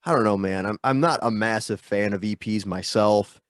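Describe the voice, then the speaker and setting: A man's voice: on the low side, moderate pace, no room sound, clean studio sound. A man guesting on a video-call interview, stuffy from a sinus infection, rambling and self-deprecating with lots of fillers. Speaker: guest musician. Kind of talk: casual interview chat.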